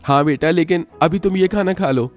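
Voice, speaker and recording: Man playing a higher-voiced earnest cartoon dad. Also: normal speed, lightly acted. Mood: caring, reassuring. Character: poor family father, fretful but caring son and brother. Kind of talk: Hindi cartoon story voice acting.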